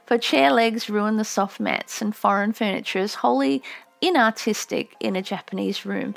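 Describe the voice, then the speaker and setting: A woman reading a memoir as an audiobook narrator, with measured storytelling delivery. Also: fairly high pitch, vocal fry.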